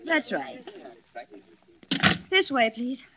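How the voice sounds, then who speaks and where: Gracious, lilting hostess. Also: female voice, on the high side, wildly swooping pitch, drawn-out words, fairly bright tone, breathy edge, exaggerated voice. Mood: polite. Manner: polished, sweetly polite. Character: gracious, obliging young female secretary and hostess. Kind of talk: 1940s radio acting. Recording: dull old 1940s radio recording.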